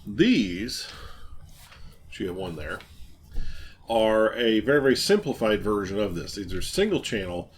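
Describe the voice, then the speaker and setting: Male host, lowish register, casual and rambling gear explainer, enthusiastic, faint noise behind. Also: very animated, unhurried, long pauses. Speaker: host of a hobby radio show. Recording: light background noise.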